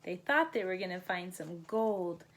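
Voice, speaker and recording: Slow-paced woman reading a bedtime story. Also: lowish voice, lively intonation, a bit bright. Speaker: storybook reader. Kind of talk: slow read-aloud children's bedtime story.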